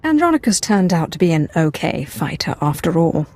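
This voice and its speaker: A woman's voice: low voice, sing-song, brisk, darkish tone. A woman playing a cool, self-possessed Sith sorceress in a video game, speaking in terse, dry phrases.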